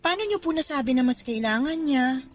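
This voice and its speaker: A woman's voice: high voice, lively intonation, a little fast, soft background music. An actress playing a kind, respectful young woman in a radio drama, speaking politely and earnestly. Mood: admiring, earnest. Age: young adult. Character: kind, admiring, respectful young female helper. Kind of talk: Tagalog radio drama acting.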